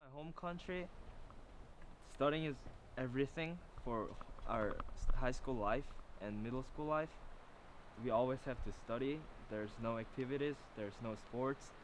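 A teenage boy from South Korea, an international student, speaking earnestly and clearing his throat in an outdoor interview. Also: medium pitch, a little slow, noisy background. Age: teens. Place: outdoors on a lawn by woods.

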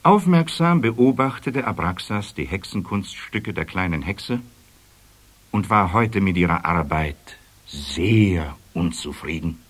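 A man with a fairly deep voice narrates a children's story. He takes his time, and his intonation rises and falls in lively, deliberate emphasis. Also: breathy edge. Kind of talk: expressive children's fairy tale narration.

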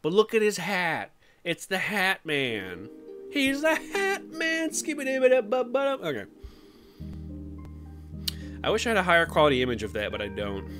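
A man talks casually on a live stream, reacting to chat and breaking into squeaky silly voices. His delivery swings widely in pitch. Soft music plays faintly underneath. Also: moderate pace, pauses often. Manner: animated, jumps into high silly voices.

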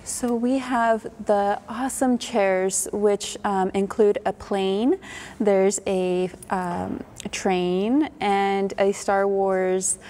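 A woman's voice: medium pitch, steady tone, very slow, dark tone, slight background noise. A woman in her thirties, owner of a salon, answering earnestly and hesitantly as a guest in a TV studio. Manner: earnest, hesitant, many ums. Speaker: kids' hair salon owner and stylist. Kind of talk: TV interview guest. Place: TV studio set.

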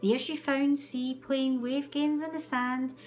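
A woman reading a children's storybook aloud as an animated narrator, with music behind her. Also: sits high, slow pace. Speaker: storybook reader.

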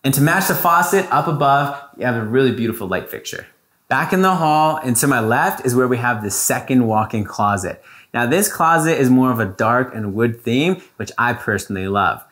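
A man's voice: mid-range voice, animated, moderate pace, a bit bright. A young man giving a casual, enthusiastic guided tour of a house in an informal, playful manner. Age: young adult. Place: indoors, rooms of a large house. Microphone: lapel mic.

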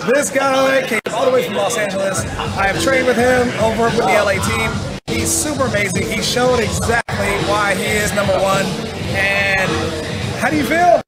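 A man's voice: sits high, moderate pace. A man in his thirties, a coach, interviewing wrestlers ringside with enthusiasm, wearing a headset in a large indoor hall. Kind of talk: post-match interview. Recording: noisy hall with crowd and music. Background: crowd chatter and music.

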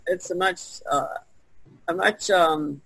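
A middle-aged woman with a raspy, creaky voice, chatting casually among fellow artists. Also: fairly low pitch, takes it slowly, fairly bright tone, dry recording, rough recording. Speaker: artist on a podcast panel. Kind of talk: casual chat. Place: online video conference call.

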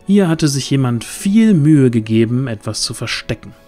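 A man's voice: mid-range voice, lively intonation, normal speed, mellow, soft background music. A man narrating a scripted fantasy audio drama in a dramatic storytelling manner.

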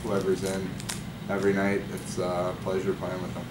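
A young man, a college hockey player, answering post-game press questions in a relaxed, halting way with many fillers. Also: low-pitched. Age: young adult. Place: press room with backdrop. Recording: echoey room, far mic, loud hum.

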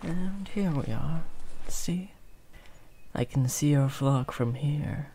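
A man plays a kindly werewolf in an audio roleplay, protective and soothing. The voice is mellow and creaky, with slow, soft, hesitant delivery that drops close to a whisper. There is some background noise behind it. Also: on the high side. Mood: tender, encouraging. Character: gentle, protective male werewolf comforting a lost sheep. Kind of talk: improvised comfort roleplay, soft and intimate.

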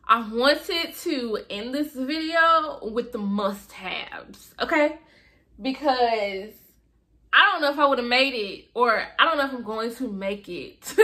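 Young woman vlogger, slight lisp, expressive casual chat, bright tone. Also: mid-range voice. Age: young adult. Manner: chatty, expressive, lots of fillers. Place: bedroom, indoors. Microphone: camera in front of her.

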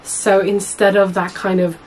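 A young woman with a husky voice, hoarse from a cold, chatting to camera as a sewing vlogger in a small room at home, rambling and correcting herself often. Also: medium pitch, level tone, a little slow, darkish tone, a little hiss or hum, low-quality recording. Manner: chatty, rambling, many fillers and self-corrections. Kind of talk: casual chat to camera.

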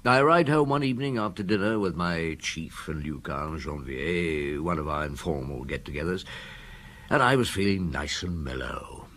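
An actor playing a French police inspector, a dry-humoured, mellow husband, in a British radio drama with wry, conversational delivery. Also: male voice, very deep voice, animated, moderate pace, full character voice. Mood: amused, reminiscing.

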